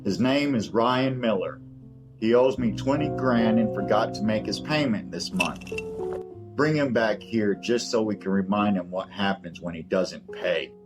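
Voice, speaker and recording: A low male voice from an actor plays a crime boss in an audio drama. He is impatient and menacing, giving orders and scolding. Guitar music runs clearly underneath. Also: measured pace, natural voice. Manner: commanding, scolding.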